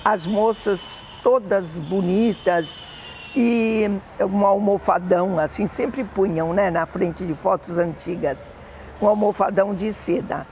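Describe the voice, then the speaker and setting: An older woman with a Brazilian Portuguese accent, fondly recalling family memories in an oral history interview, often pausing to correct herself. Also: mid-range voice, darkish tone, a little hiss or hum. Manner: fond, meandering reminiscing with self-corrections.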